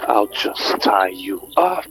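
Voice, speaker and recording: A man's voice: lowish voice, sing-song, slow pace, fairly bright tone, slightly rough, faint music behind, full character voice, rough recording. An actor playing a stern, commanding male civil guard in a radio drama, curt and authoritative.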